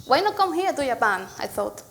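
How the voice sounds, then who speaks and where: A woman's voice: high voice, sing-song, brisk, creaky, slight background noise. A woman in her thirties from Spain with a Spanish accent, giving a chatty conference talk full of "so"s and restarts. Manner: chatty storytelling with many "so"s and restarts. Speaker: conference speaker. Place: conference hall.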